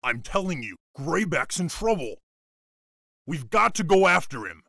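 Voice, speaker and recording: An actor playing a grumbling but loyal warrior in a fantasy game, with a gruff, gravelly, emphatic delivery. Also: male voice, on the high side, big pitch swings, a little slow, dry recording, clean studio sound, exaggerated voice. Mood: urgent. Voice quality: gravelly, forceful. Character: gruff, grumbling, loyal male wolf clan fighter. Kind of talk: dramatic fantasy game voice acting.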